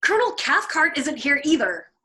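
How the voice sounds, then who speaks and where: A woman putting on a shrill, heated young airman. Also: sing-song, normal speed, bright, forceful tone, full character voice. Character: excitable, self-righteous young male airman.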